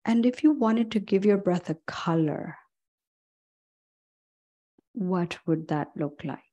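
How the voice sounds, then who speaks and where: Female meditation guide, low-pitched and very slow, gentle, leaving long pauses. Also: animated, muffled recording. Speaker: yoga and meditation instructor.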